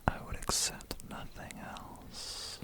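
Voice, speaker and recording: A man's voice: slow pace, close to an everyday voice. A man playing a seductive, predatory vampire biker in an ASMR roleplay, intimate, teasing and theatrical. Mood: dark, coaxing. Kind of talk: whispered ASMR roleplay acting.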